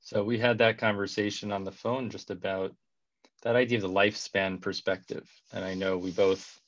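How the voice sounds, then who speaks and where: A man in his thirties hosting a video call interview, warm and effusive, often repeating words as he talks, speaking through a headset. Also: lowish voice, fairly even intonation, normal speed, no room sound. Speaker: host. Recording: dull, dry video call audio.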